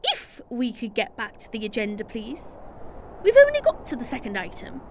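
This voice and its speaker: A woman's voice: fairly high pitch, wildly swooping pitch, normal speed, heavy background noise. A woman acting a bossy, pompous season spirit in a comedic radio drama, speaking formally and officiously. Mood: brisk. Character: Spring, prim bossy female season chairing a meeting.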